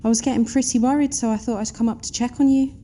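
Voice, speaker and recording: A woman's voice: on the high side, fairly even intonation, quick pace, mellow, slight background noise, close to an everyday voice. A woman playing a cheery, snarky young starship engineer in an audio drama, casual and friendly in her manner. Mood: friendly, concerned.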